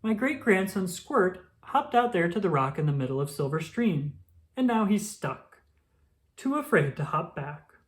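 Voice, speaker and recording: A woman puts on a kindly old grandfather toad for a storybook. He talks politely and pleadingly, with lively rises and falls. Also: fairly low pitch, measured pace. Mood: worried.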